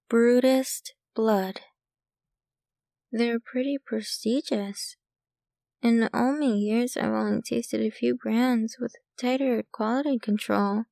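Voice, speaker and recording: ASMR roleplay casting: a woman as a doting, possessive vampire girlfriend, ancient and wealthy, unhurried, with a creaky edge. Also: on the high side, mellow, no echo. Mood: musing, proud. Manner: sweetly possessive, teasing, unhurried, addressing the listener intimately.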